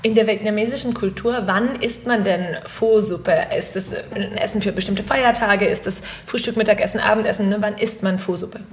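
A woman's voice: medium pitch, steady tone, fairly quick, few pauses, slight background noise. A woman hosting an interview, curious and asking lots of follow-up questions. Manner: curious, asks follow-ups, frequent mhm. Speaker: interview host.

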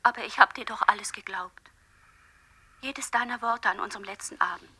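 A woman's voice: mid-range voice, brisk, pushed and bright, slightly rough, no room sound. A woman playing a jilted, wounded lover pleading reproachfully in a radio drama monologue, often dropping into whispers. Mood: wistful, pleading. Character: jilted, hurt woman pleading with her former lover on cassette.

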